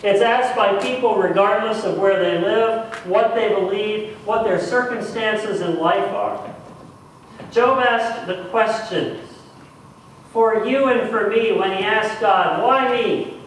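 A middle-aged man preaching a sermon in a church, deliberately, with dramatic pauses and repeated phrases for emphasis. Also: high-pitched. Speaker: pastor. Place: church sanctuary, speaking at the front. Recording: echoey church, distant mic, faint noise.

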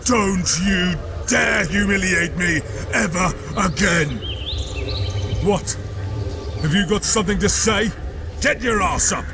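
A man acting a harsh, domineering village father, shouting and scolding with contempt in a motion comic. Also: high-pitched, slow pace, long pauses. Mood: furious. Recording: music behind the shouting.

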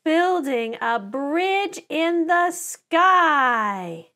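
Lady reading a kids picture book out loud, really slow n deliberate, voice a bit high, expressive storyteller style. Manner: animated, deliberate storytelling with dramatized sound words. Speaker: children's author reading own book aloud. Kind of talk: expressive picture-book read-aloud for preschoolers.

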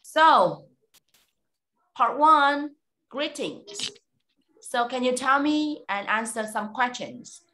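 Slow, bright-voiced woman teacher. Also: high voice, lively intonation, low-quality recording. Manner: encouraging, slow and clear, lots of praise. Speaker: English teacher. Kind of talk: online speaking test with a child. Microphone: video call.

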